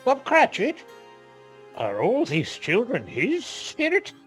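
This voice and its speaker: A man's voice: extremely high pitch, wildly swooping pitch, slow pace, faint music behind, exaggerated voice. An actor playing Scrooge, an anguished, imploring old man in a radio play. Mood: awed. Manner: imploring, exclamatory.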